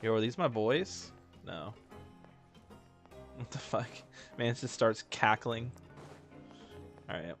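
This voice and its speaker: A man's voice: medium pitch, animated, takes it slowly, long pauses, a bit bright. A man giving casual, slangy commentary on a video game and reading its text aloud with joking asides. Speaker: game streamer. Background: faint game music.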